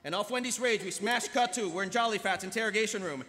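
A man's voice: high-pitched, fast, pushed and bright. A man narrating stage directions live from a script. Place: large convention hall panel stage. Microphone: podium microphone. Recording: echoey convention hall PA.

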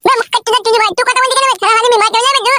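A woman voicing a cheeky, foul-mouthed little village boy in a comedy cartoon, with a rural West Bengal accent and a pitched-up voice. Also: steady tone, very fast, pushed and bright, no room sound. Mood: brash, cheeky. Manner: brash, mouthy.

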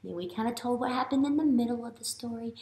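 A woman's voice: on the high side, fast talker, darkish tone. An American woman in her thirties, a teacher talking expressively to young children and often asking questions during a read-aloud. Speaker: early elementary teacher. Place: classroom, indoors. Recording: roomy classroom echo.

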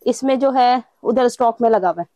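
A woman's voice: on the high side, fairly quick, mellow, dry recording. A woman shopkeeper, giving brief, matter-of-fact answers while showing stock. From Pakistan. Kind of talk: casual chat, showing goods.

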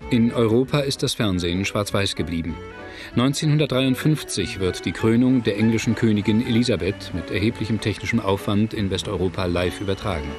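A man narrating a scripted documentary in a measured, factual manner. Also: on the low side, music in the background. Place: studio voice-over, music behind.

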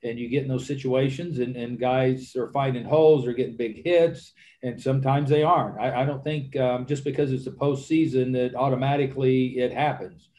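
A man in his sixties, a baseball manager answering questions at a press conference, halting and repeating words, speaking into a desk microphone. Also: mid-range voice, steady tone, warm tone, slight background noise. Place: press conference room with backdrop.

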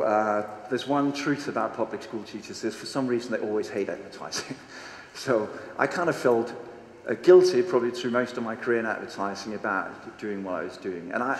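A man's voice: fairly low pitch, moderate pace, a little hiss or hum. Blunt Danish man in an echoing hall. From Denmark. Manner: casual and blunt, swears freely, quizzes the audience. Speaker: conference speaker. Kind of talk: energetic stage talk. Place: large hall with a seated audience. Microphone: handheld microphone on stage. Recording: echoey hall with long reverb, faint ambience.

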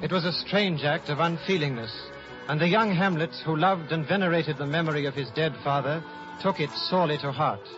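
An actor playing an earnest, loyal scholar in measured, solemn 1950s radio Shakespeare. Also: male voice, a little high, music in the background, close to an everyday voice. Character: Horatio, earnest loyal scholar recounting a tragedy. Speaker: narrator. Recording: muffled 1950s radio broadcast.

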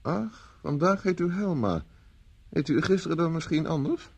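An actor from the Netherlands playing a wry, flirtatious gentleman bachelor in a radio drama. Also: a little high, lively intonation, normal speed, creaky, breathy edge, exaggerated voice. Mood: puzzled, wry. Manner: wry, courteous, mock-grumbling. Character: suave, wry, flirtatious bachelor, friend of a gambler.

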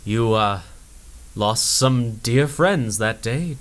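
An actor playing a genial old-time radio show host with a measured, announcer-like manner. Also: male voice, medium pitch, close to an everyday voice. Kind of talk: 1930s radio announcer acting.

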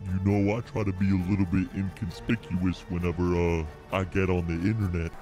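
A man voicing a cocky, awkward gamer loser through a voice changer that pitches his voice down, in an improvised online prank call. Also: level tone, brisk, music in the background. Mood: awkward, polite. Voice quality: deep, digitally lowered. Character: cocky, sleazy deep-voiced male gamer braggart, socially awkward loser.

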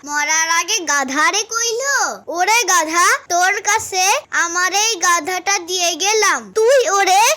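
A man's voice: very high voice, unhurried, bright, forceful tone, exaggerated voice. A man voicing a cheeky animal sidekick in a funny cartoon, mocking and drawing out the words.

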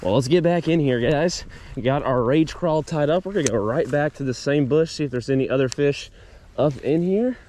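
Higher-voiced man hosting a fishing vlog. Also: moderate pace, warm tone, slight background noise. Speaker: fishing video host and competitor. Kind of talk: casual outdoor vlog chat with a scripted sponsor read.

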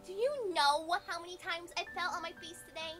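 Very high, flustered young cartoon pony. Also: female voice, brisk, music in the background. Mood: panicked. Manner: flustered, repeating words. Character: anxious, excitable young pony apprentice. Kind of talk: animated fan cartoon acting.

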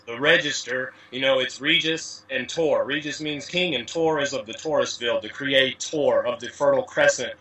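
A man's voice: mid-range voice, normal speed, hardly any pauses, pushed and bright, a little hiss or hum. A man from the USA, a guest on an interview, talking in a rambling casual way with lots of "you know" fillers. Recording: distant from mic.

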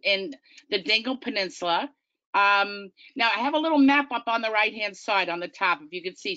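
A bright, forceful woman with a Long Island accent talks through a travel slideshow, chatty and eager. The sound is dry and close, a little dull, like home audio on a video call. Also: mid-range voice, no room sound. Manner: chatty, enthusiastic, self-deprecating. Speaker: tour company business development manager. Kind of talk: webinar slideshow presentation.

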